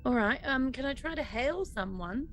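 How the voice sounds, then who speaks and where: A woman's voice: sits high, expressive, normal speed, fairly bright tone, slight background noise. A woman playing a tabletop game, chatting casually and playfully as she improvises. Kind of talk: casual improvised role-play chat.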